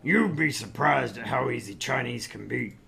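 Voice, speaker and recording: Man putting on a brash, creaky-voiced older businessman. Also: medium pitch, normal speed, crowd noise. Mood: condescending, boastful. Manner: blustery, sardonic, condescending. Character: brash, gruff older businessman at a bar, needling and boastful. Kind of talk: visual novel read-aloud.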